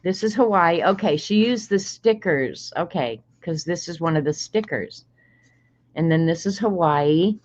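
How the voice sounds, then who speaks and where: Talk it through slowly and easily, as a woman in her sixties chatting to your stream, lower in your voice, half thinking aloud with little laughs. Keep it relaxed and unhurried. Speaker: streamer opening mail on stream. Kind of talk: casual livestream chat. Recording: slightly dull lo-fi stream audio.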